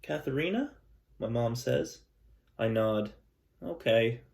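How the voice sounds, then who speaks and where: A man teaching a class, chatting gently and reading a picture book aloud to children. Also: medium pitch, expressive, slow pace, creaky. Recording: echoey room, mic at a distance.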